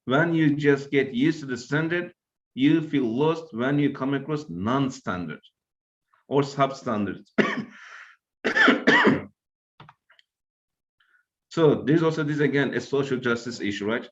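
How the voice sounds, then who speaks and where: A man's voice: mid-range voice, huge pitch swings, slow pace, pauses often, fairly bright tone, muffled recording. A man from Turkey with a Turkish accent, giving an academic lecture and often checking in with "right?". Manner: explanatory, tags points with "right?".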